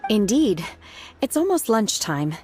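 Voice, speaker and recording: A woman's voice: mid-range voice, very animated, unhurried, loud music behind the voice. A woman voicing a reserved young female disciple working as a waitress, formal and matter-of-fact, in a video game. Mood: calm, respectful.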